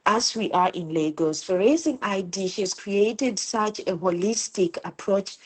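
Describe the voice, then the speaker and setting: A woman from Zimbabwe talks about homeschooling her son, her voice at an ordinary middle pitch. She tells her stories earnestly and with feeling, sometimes repeating words as she goes. It sounds like relaxed interview conversation. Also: unhurried, breathy edge, rough recording. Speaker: guest homeschooling mother. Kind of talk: casual interview talk.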